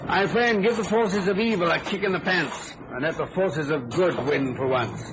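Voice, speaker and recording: An actor playing a brash, sardonic police inspector in a radio thriller, clipped and commanding. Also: male voice, high voice, moderate pace, very noisy, close to an everyday voice. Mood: urgent, wry.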